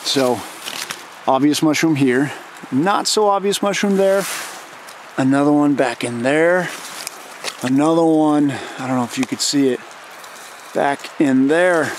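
A man in his thirties hosting a vlog outdoors in a rainy forest, talking casually and reacting with excitement as he films himself up close. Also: fairly high pitch, measured pace, long pauses, slight background noise. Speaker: channel host. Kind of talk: casual vlog chat and voice-over. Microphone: handheld camera close to the face.

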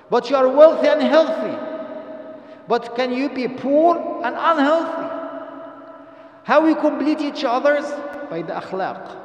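An older man giving a Friday sermon in a mosque at a stand microphone, earnest and exhorting, in non-native English with Arabic phrases mixed in. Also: extremely high pitch. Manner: earnest, exhorting, rising to emphatic stress, asks the congregation questions. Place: mosque prayer hall, indoors. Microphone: stand microphone at a lectern. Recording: echoey mosque hall.

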